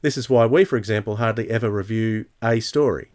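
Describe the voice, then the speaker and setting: A man's voice: on the low side, fairly quick, darkish tone. A man giving his considered opinions in a casual podcast discussion. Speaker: podcast host.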